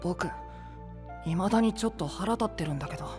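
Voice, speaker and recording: A man's voice: high-pitched, a little fast, natural voice. Man acting a prickly boyish youth, music behind. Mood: irritated. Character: prickly, boyish young man, secretly caring. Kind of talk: Japanese anime voice drama acting.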